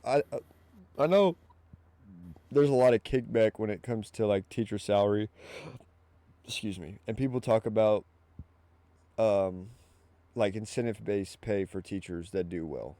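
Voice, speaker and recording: A man's voice: fairly low pitch, a little slow, long pauses, mellow, dry recording. A man in his twenties hosting a podcast, talking informally with lots of "like" and "you know what I mean". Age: 20s. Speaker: podcast host. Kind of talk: casual podcast chat.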